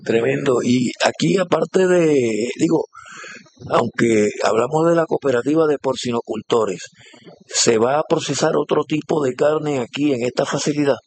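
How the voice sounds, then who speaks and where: A man's voice: mid-range voice, moderate pace, darkish tone, slight background noise. A man with a Puerto Rican accent interviewing on site, friendly and enthusiastic, with many hesitant fillers. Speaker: interviewer, reporter.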